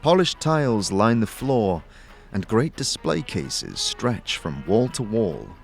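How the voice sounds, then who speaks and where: A man narrates a fantasy audio drama in a low voice. His delivery is scripted and theatrical, with very lively pitch movement, over faint music. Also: slow, gravelly.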